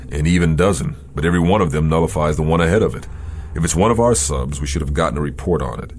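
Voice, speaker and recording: A gruff, decisive navy captain in a radio drama. His voice is extremely low and full of authority, with clipped phrasing, set against clear background noise. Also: quick pace, a little mellow. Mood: puzzled. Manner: authoritative, clipped orders and musing.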